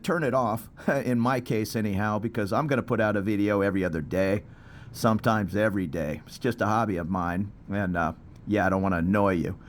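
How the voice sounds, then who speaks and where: A man talking casually as he vlogs, rambling and chatty with plenty of fillers, out on a city street. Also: fairly low pitch. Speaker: expat vlogger. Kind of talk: casual walking vlog. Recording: street traffic noise behind.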